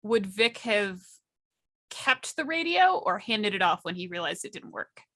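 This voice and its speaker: A woman's voice: medium pitch, very animated, unhurried, sharp tone, dry recording. A woman speaking casually as a player in a tabletop game.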